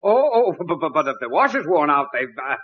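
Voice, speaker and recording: Put-upon middle-aged American dad, vintage radio comedy. Sputtering, lively highish voice, dull old recording. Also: male voice, a little fast. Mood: flustered bluffing. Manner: stammering, sputtering, blustering. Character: flustered, put-upon middle-aged father, easily exasperated bluffer. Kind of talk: 1940s radio sitcom acting. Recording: muffled vintage 1940s radio broadcast.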